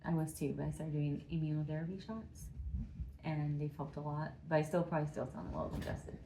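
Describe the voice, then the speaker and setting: A woman's voice: low-pitched, quick pace, warm tone. A woman, chatting playfully about football as a podcast co-host. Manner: playful, teasing. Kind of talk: casual sports chat. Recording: echoey room, faint noise.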